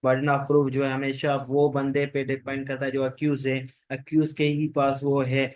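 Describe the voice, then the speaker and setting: A man's voice: medium pitch, monotone, a little slow, dry recording. A man giving an informal revision lecture, mixing English technical terms into his speech, with repetitive, loosely strung explanations. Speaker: law lecturer.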